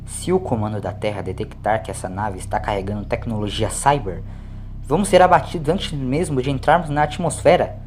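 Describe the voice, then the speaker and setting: A man's voice: mid-range voice, fairly even intonation, fairly quick, a little mellow, close to an everyday voice. A man voicing a level-headed, firm flight controller and father in an audio story. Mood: insistent. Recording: clear background noise.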